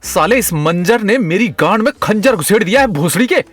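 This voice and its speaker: A man playing a hot-headed, foul-mouthed, panicky young man in an audio comedy drama, loud and exasperated. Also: sits high, expressive, a little fast, faint music behind. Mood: exasperated, angry.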